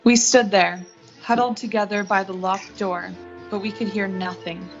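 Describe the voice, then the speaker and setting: A woman's voice: medium pitch, steady tone, moderate pace, highs cut off, loud music behind the voice, close to an everyday voice. A young woman acting an earnest, lovestruck, wounded heroine who narrates a 1940s-style radio suspense play. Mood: grim, resigned. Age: young adult. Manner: earnest, dramatic. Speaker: high school theatre student actor.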